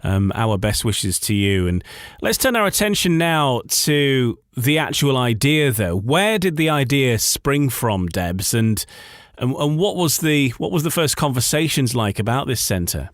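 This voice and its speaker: A man speaks as a radio interviewer in a conversational style. His pitch sits in the middle of the male range. The sound is dry, with very little room around the voice. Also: very animated, a little slow, no room sound.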